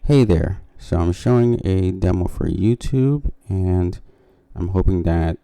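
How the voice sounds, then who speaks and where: A man with a very low, gravelly voice and an extremely soft, dark tone. He talks casually to his viewers, walking them through a software demo as a developer would. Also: a little slow. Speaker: software developer making a video.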